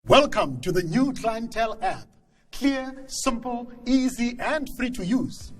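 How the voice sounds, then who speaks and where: A man's voice: very high-pitched, animated, measured pace. A man reading an advert voice-over, persuasive and emphatic. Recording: music bed under voice.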